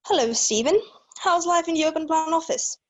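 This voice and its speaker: A woman's voice: extremely high pitch, brisk, dry recording. A woman reading the part of a pushy, needling newspaper editor in his late fifties at an amateur script read. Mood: breezy, smug.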